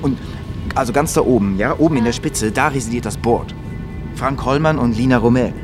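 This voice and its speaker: A man's voice: mid-range voice, fairly quick, lightly acted. An actor playing an eager, hopeful man in his twenties who is new in town, in naturalistic radio drama dialogue. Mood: elated, eager. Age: 20s. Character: eager young newcomer writer, hopeful and a little awed. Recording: loud music bed behind voice.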